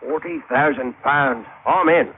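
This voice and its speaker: An actor playing a greedy, superstitious sailor with ominous colloquial talk in an old-time radio drama. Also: male voice, mid-range voice, a little slow, heavy background noise, lightly acted. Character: greedy, superstitious male sailor, gloomy croaker. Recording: tinny old radio, loud background bustle.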